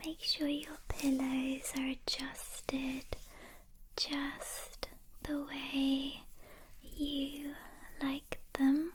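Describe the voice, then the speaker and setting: A woman softly whispers an ASMR guided meditation at an extremely slow pace, gentle and breathy. Her delivery is very flat and soothing, broken by long pauses. Noticeable background noise sits behind her, along with soft hand sounds and clicks. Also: sits high, low-quality recording.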